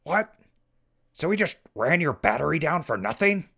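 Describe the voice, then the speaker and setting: An actor playing an easygoing, folksy wasteland radio reporter in an audio drama, informal and wry. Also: male voice, on the high side, big pitch swings, moderate pace, vocal fry, bright, forceful tone, husky, exaggerated voice. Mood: exasperated. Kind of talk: post-apocalyptic audio drama.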